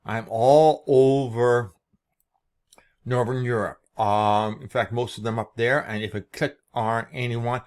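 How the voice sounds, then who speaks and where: Guy doing an informal explainer, medium pitched voice, talks in short halting bits. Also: steady tone, a little mellow, clear, resonant tone. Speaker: presenter. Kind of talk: informal explanatory screen-share talk.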